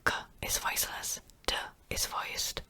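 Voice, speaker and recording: Slow, bright whispering woman. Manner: soft whisper, gentle, explanatory, repeats words slowly. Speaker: language teacher. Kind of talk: whispered ASMR language lesson.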